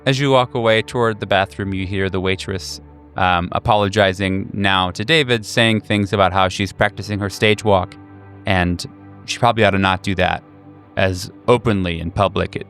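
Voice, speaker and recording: A man narrating a tabletop game as game master, descriptive and improvised, with halting phrasing. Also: low-pitched, fairly even intonation, creaky, a bit bright, soft background music.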